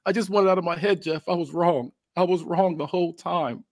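A man's voice: mid-range voice, lively intonation, fairly quick, no echo, natural voice. Guy doing a sadistic cocky wrestler bad guy, taunting promo, theatrical n bossy, dry sound. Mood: tearful false remorse. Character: cocky, sadistic, taunting male wrestling villain with fake remorse. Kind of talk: dramatic acted wrestling promo.

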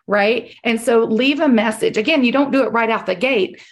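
A woman's voice: fairly high pitch, normal speed. Emphatic woman coaching, voice worn. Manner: direct, emphatic, repeats key points. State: losing her voice. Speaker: sales coach. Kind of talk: coaching on a group call.

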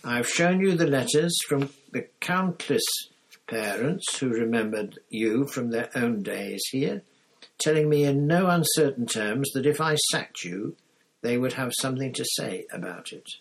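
A man reading aloud and voicing an elderly male headmaster wizard who speaks in a measured, gently dry way. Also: mid-range voice, lively intonation, breathy edge, pitched above the performer's own voice, poor audio. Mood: wry, kindly firm.

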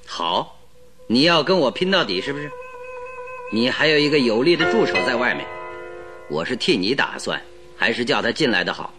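An actor playing a shrewd, calm detective who talks in a measured, persuasive way with sly confidence in a radio drama. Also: male voice, mid-range voice, over music, lightly acted.

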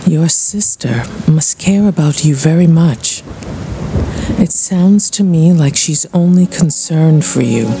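An actress in a radio play voicing a calm, reassuring spirit medium who speaks in a measured, soothing, solemn way. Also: female voice, low voice, noisy background, full character voice.

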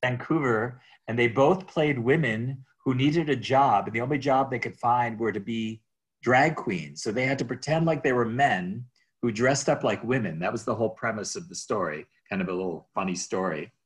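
A man's voice: mid-range voice, normal speed. A middle-aged man from Minnesota who grew up speaking Greek, chatting as an interview guest, rambling with restarts and chuckles. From Minnesota, USA. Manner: rambling, restarts, chuckles while telling stories. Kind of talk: casual interview chat.

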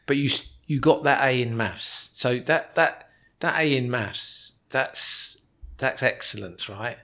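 A man hosting a podcast interview, asking questions and summing up his guest's story in a measured, encouraging way. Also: mid-range voice, sharp tone. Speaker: podcast host. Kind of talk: interview conversation.

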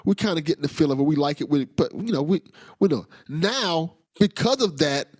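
A man's voice: a little high, expressive, a little fast, darkish tone, breathy edge, no echo, clean studio sound. A man hosting a podcast, talking in a casual, rambling, enthusiastic way with slang. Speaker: podcast host. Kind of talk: casual interview chat.

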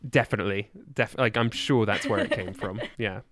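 A man speaking as a podcast guest in casual conversation, with a halting, discursive delivery. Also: fairly low pitch, a bit bright, slight background noise. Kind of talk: casual chat.

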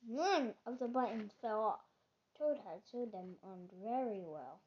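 A child reading a children's storybook aloud in a halting, stumbling way. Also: mid-range voice. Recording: dull lo-fi recording.

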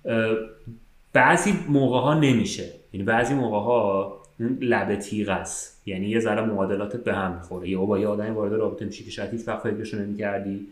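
A man's voice: on the low side, steady tone. A man chatting casually as a podcast co-host, rambling through informal, slangy explanations. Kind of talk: casual conversational chat. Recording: roomy, echoing sound.

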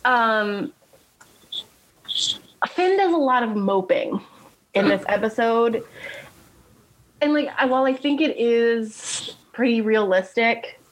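A woman's voice: fairly high pitch, animated, takes it slowly, long pauses, poor audio. A woman co-hosting a podcast, chatting casually as she recaps a TV show. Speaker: podcast co-host.

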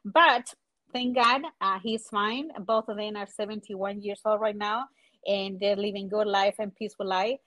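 A woman's voice: mid-range voice, steady tone, a bit bright, rough recording. A woman in her forties from Chile with a Chilean Spanish accent, speaking English as a second language and searching for words as an interview guest. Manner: halting, searching for words, frequent fillers. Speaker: guest entrepreneur. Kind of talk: casual interview.

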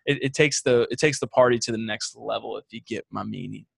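A man co-hosting a podcast, chatting in a relaxed, easygoing way. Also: fairly low pitch, brisk, vocal fry, husky, no echo. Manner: easygoing, laid-back and chuckling. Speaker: podcast co-host. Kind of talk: casual podcast banter.

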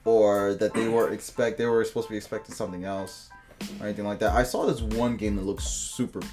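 A man's voice: fairly low pitch, fairly even intonation, fast, darkish tone, soft background music. A man co-hosting a podcast in loose, casual chat, swearing freely and acting out little stories. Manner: laid-back, profane, acts out anecdotes. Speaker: podcast co-host.

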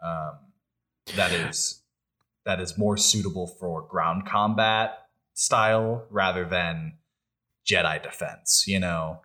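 A man's voice: low voice, takes it slowly, bright, forceful tone. A man co-hosting a podcast, chatting informally and thinking aloud as he goes. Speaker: podcast co-host. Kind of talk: casual chat about gaming and film news.

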